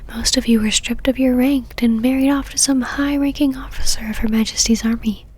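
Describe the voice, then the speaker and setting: Casting: actress for female vampire knight, ASMR roleplay. Breathy whisper, haughty, possessive, teasingly controlling. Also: a little high, steady tone, darkish tone. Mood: stern, condescending. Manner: whispered, slow condescending endearments and commands.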